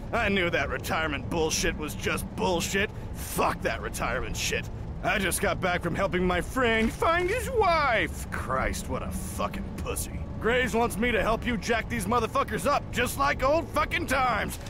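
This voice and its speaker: Crude swaggering army captain in a game, real high shouty voice, music behind. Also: male voice, sing-song, moderate pace. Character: brash, foul-mouthed, gung-ho male army captain. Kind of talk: video game voice acting. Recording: music under the voice.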